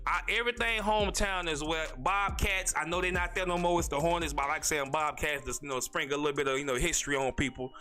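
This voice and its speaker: A man from North Carolina, hosting a casual solo podcast in a rambling, slangy way, talking fast with a stutter. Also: on the high side, fairly even intonation, pushed and bright. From North Carolina, USA. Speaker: podcast host.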